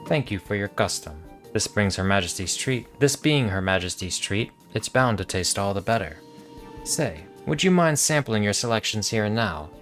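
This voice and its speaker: A man reading video game dialogue aloud in a monotonous voice, with casual asides. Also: lowish voice, moderate pace, faint music behind.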